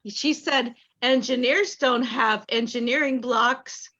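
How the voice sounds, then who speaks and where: Slow, bright-voiced woman on a call. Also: a little high. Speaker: interviewer reading answers. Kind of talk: video call talk back. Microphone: video call. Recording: dull lo-fi call audio.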